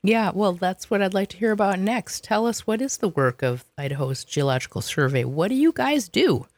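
A man's voice: sits high, expressive, normal speed, dry recording. A male host asking curious, plain questions in an interview.

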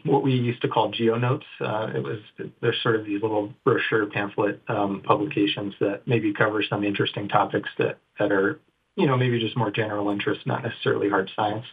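A man's voice: on the low side, slightly rough. A middle-aged man from northern Idaho, a geologist guest, talking casually in an interview with many fillers and restarts. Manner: hesitant, many fillers and restarts, soft-spoken. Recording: tinny phone-like line.

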